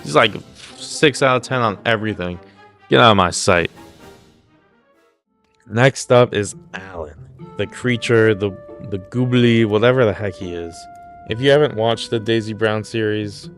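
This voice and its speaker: Low-voiced man doing snarky commentary. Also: fairly bright tone. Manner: mock-serious, crude, sweary. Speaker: YouTube host. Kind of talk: casual comedic commentary.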